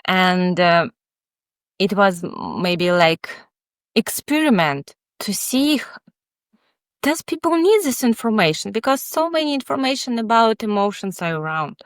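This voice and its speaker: A woman, not an English speaker, answering interview questions in a halting, candid, thoughtful way with many pauses. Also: mid-range voice, animated, dry recording, studio quality. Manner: candid, reflective. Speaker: guest author, child psychotherapist.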